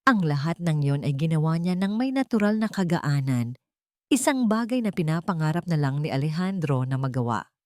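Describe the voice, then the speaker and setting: Female narrator, Filipino accent, deep register, lively melodic delivery for an audio drama. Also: moderate pace, no room sound. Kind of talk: expressive scripted storytelling.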